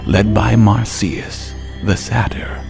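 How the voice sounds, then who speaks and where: A man narrating a radio drama as a theatrical storyteller, with measured, dramatic phrasing. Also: on the low side, steady tone, vocal fry, darkish tone, soft background music.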